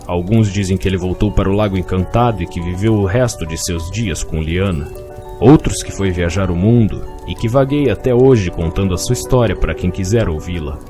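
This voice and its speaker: Brazilian guy narrating a fantasy story, lowish voice, music clearly playing behind him. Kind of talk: scripted dramatic narration of a fantasy tale. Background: musical backing.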